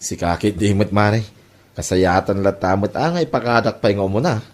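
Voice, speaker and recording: A man's voice: lowish voice, expressive, normal speed, lightly acted. A man with an Ilocano accent playing an industrious, good-natured village farmer in a comedy drama. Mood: earnest. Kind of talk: comedy radio-style drama acting.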